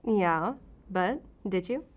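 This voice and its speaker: An actress playing a guarded, defiant law-enforcement agent in an audio drama, with a sarcastic, defensive way of talking. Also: female voice, mid-range voice, expressive, takes it slowly. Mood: teasing, deflecting.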